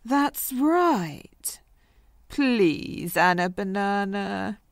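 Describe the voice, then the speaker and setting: A woman's voice: mid-range voice, animated, extremely slow. A woman playing an eager, romance-minded superhero, playful and wheedling, in an audio comedy. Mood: coaxing.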